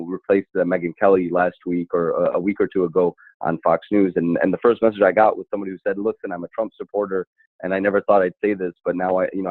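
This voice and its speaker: A man speaking earnestly as a panelist in an online town hall, halting and often repeating words as he goes. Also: low-pitched. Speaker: panelist, civil rights attorney and activist. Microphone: internet call. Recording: thin, phone-like online call audio.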